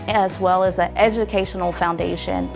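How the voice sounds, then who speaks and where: A woman's voice: fairly low pitch, steady tone, moderate pace, creaky, low-quality recording. A woman from Virginia, a scholarship recipient, introducing herself in a friendly, explanatory way. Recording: music playing underneath.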